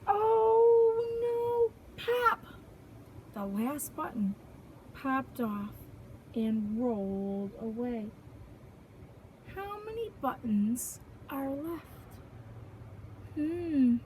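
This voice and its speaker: High-voiced woman reading slowly to young children. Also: a little hiss or hum, low-quality recording. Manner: sing-song, expressive, simple phrases for small children. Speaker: preschool teacher reading to children. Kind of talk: children's picture book read-aloud with counting questions.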